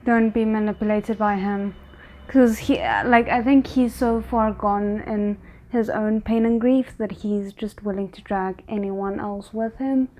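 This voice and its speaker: A woman giving casual, expressive reaction commentary on a drama, thinking aloud as she goes. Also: medium pitch, a little slow. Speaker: reaction video host.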